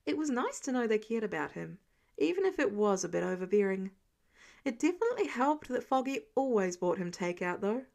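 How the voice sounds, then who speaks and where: A woman reading fiction aloud in an expressive audiobook narration style. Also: medium pitch, brisk, creaky. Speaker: fan fiction reader.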